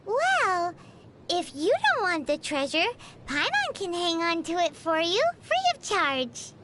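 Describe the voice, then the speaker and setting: A woman voicing a tiny, childish, cheeky fairy companion in a video game, with a squeaky voice. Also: big pitch swings, slow, heavy background noise, exaggerated voice. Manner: refers to self in third person, whiny, exclaiming. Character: tiny floating fairy girl companion, childish, cheeky, greedy, food-loving. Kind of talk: video game character acting.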